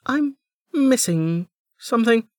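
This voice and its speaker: A woman's voice: fairly high pitch, animated, slow pace, darkish tone, no room sound, clean studio sound, voice pushed up. A narrator voicing a cheerful, chatty young woman who is new and eager to make friends. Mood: puzzled. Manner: bubbly, apologetic, eager.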